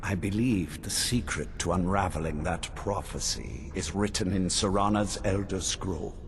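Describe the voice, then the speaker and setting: An actor playing an ancient, imperious vampire lord in a fantasy video game, speaking in grand, solemn proclamations. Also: male voice, low-pitched, animated, normal speed, slight background noise. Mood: solemn, commanding. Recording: music under the voice.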